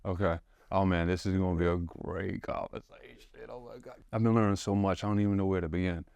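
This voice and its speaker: A man hosting a podcast in an excitable, rambling way, full of restarts and fillers. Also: low voice, fast, vocal fry, no echo, lo-fi sound. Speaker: podcast host. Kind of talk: casual chat.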